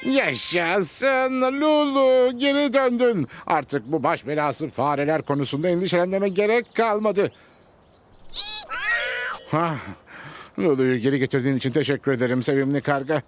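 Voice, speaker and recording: A man's voice: a little high, animated, moderate pace, a little hiss or hum, lightly acted. A man voicing an exasperated farmer in a children's cartoon, fussy and given to grumbling and exclaiming. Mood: relieved, grateful. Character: exasperated farmer pestered by birds and mice.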